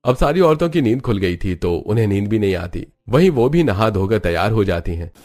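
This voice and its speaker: A man's voice: lowish voice, sing-song, a little fast, darkish tone. A man narrating a scripted cartoon family story.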